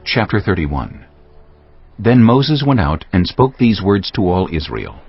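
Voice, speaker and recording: A male narrator reads scripture in a measured storytelling style. His low voice is husky and moves widely in pitch, over faint music on a dull, muffled recording. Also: big pitch swings.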